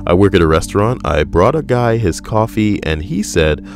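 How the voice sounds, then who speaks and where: An extremely deep male voice, dry and deadpan, reading odd stories aloud with rambling jokes between them. Faint music plays softly underneath him. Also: normal speed. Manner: deadpan, wry, rambling asides. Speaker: podcast co-host reading internet stories. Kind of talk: casual joking commentary.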